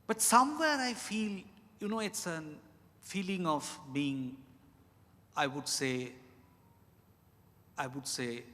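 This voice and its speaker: Halting Indian man in a reverberant hall. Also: on the high side, bright, forceful tone. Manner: halting, deliberate, repeats phrases. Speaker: author answering questions. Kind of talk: reflective live Q&A at a literary event. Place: large indoor event hall at a hotel. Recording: reverberant hall, amplified live event.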